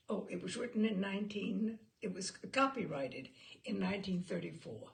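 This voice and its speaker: A woman reading literary stories aloud as a storyteller, with expressive, theatrical phrasing. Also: mid-range voice, unhurried, gravelly. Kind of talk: literary storytelling with informal introduction.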